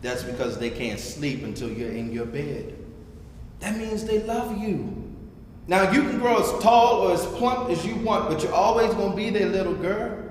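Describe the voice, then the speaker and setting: Man as motherly, folksy hostess, animated, echoey hall. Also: fairly high pitch. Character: wise, motherly Miss Verna, basement-party hostess. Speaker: actor in a staged reading. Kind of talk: staged play reading. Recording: very echoey hall, noisy live stream.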